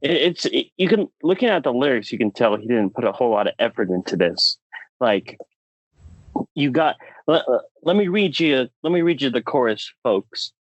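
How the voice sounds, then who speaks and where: Animated man on a slightly dull-sounding podcast. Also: mid-range voice, normal speed, no echo. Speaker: podcast co-host. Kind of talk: casual opinion banter.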